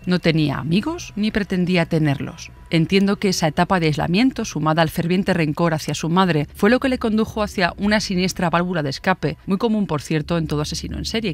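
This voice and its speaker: A woman's voice: on the low side, a little hiss or hum. A woman delivering scripted true-crime narration as a podcast narrator.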